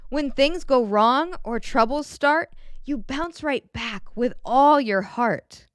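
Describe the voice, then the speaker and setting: A woman reading a children's picture book aloud in a gentle, expressive way, pausing to put questions to the young listener. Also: high voice, dry recording, clean studio sound. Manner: gentle, expressive, clearly enunciated storybook delivery.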